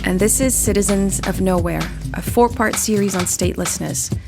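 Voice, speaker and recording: A woman journalist hosting a documentary podcast, in measured and reflective scripted narration that poses questions to the listener. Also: mid-range voice, gravelly. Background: music bed.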